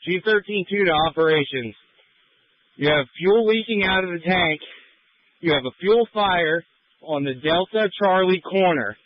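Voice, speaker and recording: A man's voice: sits high, lively intonation, unhurried, no room sound. A man working as a fire chief, giving direct, clipped commands over a two-way radio in emergency traffic. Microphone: radio handset. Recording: muffled lo-fi fire radio transmission.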